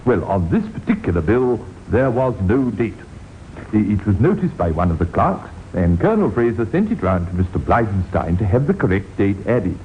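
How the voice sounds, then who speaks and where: Formal official on thin old radio. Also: male voice, lowish voice, sing-song, normal speed, phone-quality sound. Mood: grave, concerned. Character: grave, deferential male official reporting to a superior. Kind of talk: vintage radio crime drama acting. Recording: thin, noisy vintage radio recording.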